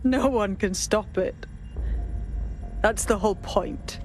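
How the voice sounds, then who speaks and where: A woman's voice: mid-range voice, slow pace, soft background music, lightly acted. A woman playing a cold, self-assured terrorist mastermind in a game, composed and contemptuous. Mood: proud, composed.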